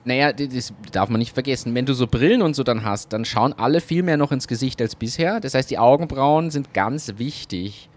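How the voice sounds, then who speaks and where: A man's voice: medium pitch, fairly quick, hardly any pauses. A man co-hosting a casual podcast chat, brainstorming ideas with enthusiasm. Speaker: podcast co-host.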